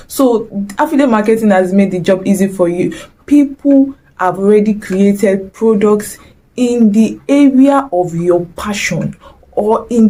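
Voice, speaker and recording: A woman's voice: mid-range voice, warm tone. A young woman with a Nigerian accent, giving a chatty, exclamatory motivational talk straight to camera and mixing in Nigerian slang. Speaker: vlogger.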